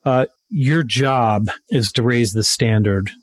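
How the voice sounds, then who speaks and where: A man's voice: mid-range voice, takes it slowly, no room sound, studio quality. A man speaking as a podcast guest, emphatic and motivational, repeating his main points. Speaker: guest, sales author. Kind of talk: interview conversation.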